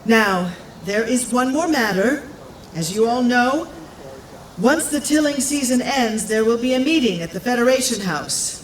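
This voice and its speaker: An actress playing an elderly, composed town speaker in an audio drama, with a measured, formal, dry way of talking. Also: female voice, on the high side, expressive, reverberant, slight background noise, rough recording. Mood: formal, weary. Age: older.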